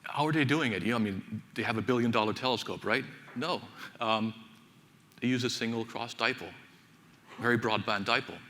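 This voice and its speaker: Finnish-born man giving a halting hall talk. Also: mid-range voice, a bit bright. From Finland. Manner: halting, many fillers and repeated words. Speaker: conference speaker, associate professor. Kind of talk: technical conference talk. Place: large conference hall. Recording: reverberant hall, faint ambience.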